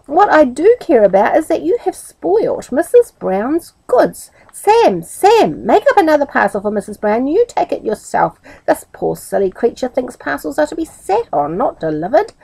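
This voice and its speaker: A woman voicing an angry, pompous male grocer in a storybook reading, scolding loudly. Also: sing-song, normal speed, vocal fry, few pauses, no echo, heavily acted, low-quality recording. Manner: scolding, loud and shrill.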